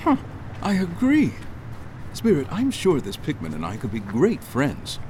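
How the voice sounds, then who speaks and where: An actor playing a fussy, curious writer led by a spirit, making nervous, wondering asides in a radio drama. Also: male voice, on the high side, wildly swooping pitch, a little slow, a little mellow, a little hiss or hum, rough recording. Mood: amused.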